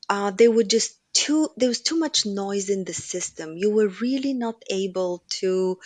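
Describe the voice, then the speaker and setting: A middle-aged woman from Bulgaria speaks with a Bulgarian accent as a podcast guest. She lays out her points in a measured, structured way at a mid pitch, and the recording is close and dry with no room sound. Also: no room sound. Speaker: guest, operations executive. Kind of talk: podcast interview.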